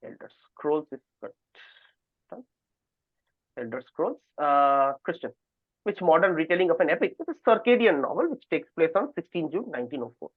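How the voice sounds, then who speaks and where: Higher-voiced male quiz host, phone-like sound. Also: very animated, normal speed, dry recording. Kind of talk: reading quiz questions, moderating contestants. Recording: narrow phone-like online call audio.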